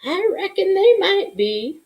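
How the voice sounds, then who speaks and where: A woman voicing a kindly, patient grandmother in a storybook, folksy and gently coaxing. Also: very high-pitched, big pitch swings, slow pace, muffled recording, pitched above the performer's own voice, exaggerated voice. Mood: patient, gentle.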